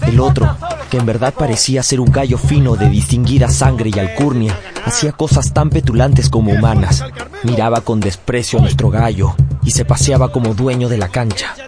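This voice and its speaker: An actor narrating a radio drama as a man solemnly recounting a boyhood memory in measured, literary phrasing. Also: medium pitch, lightly acted. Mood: suspenseful. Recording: loud music bed behind studio voice.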